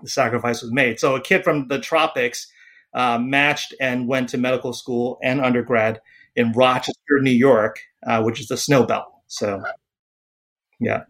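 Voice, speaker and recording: A man in his forties from Taiwan, a psychiatrist speaking as a podcast guest, pausing often with fillers and restarts. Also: mid-range voice, steady tone, fairly bright tone. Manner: hesitant, many fillers and restarts. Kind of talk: podcast interview.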